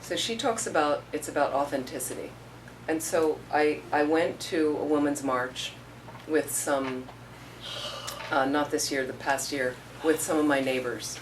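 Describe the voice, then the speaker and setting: Low-voiced woman teaching from a distance. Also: fairly even intonation, measured pace. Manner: conversational, storytelling with acted-out quotes. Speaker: Buddhist teacher. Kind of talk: informal dharma talk. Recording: distant mic with faint room noise.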